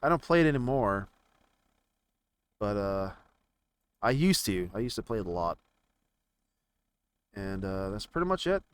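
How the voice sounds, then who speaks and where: A man giving casual, rambling commentary on video games, haltingly thinking out loud as he browses. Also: on the low side, very animated, clear, resonant tone, no room sound. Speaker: gaming video creator.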